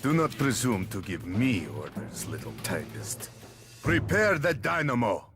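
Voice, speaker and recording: A man's voice: medium pitch, big pitch swings, a little slow, a bit bright, faint music behind. A man voicing an arrogant, ruthless male military general in a video game, proud and dismissive. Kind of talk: video game cutscene voice acting.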